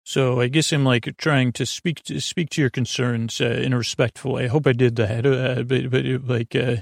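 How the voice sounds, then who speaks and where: A man hosting a sleep podcast, talking in a meandering, gentle way with many restarts and fillers. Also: mid-range voice, flat delivery, moderate pace, gravelly, dry recording, studio quality. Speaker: podcast host. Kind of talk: rambling bedtime podcast intro.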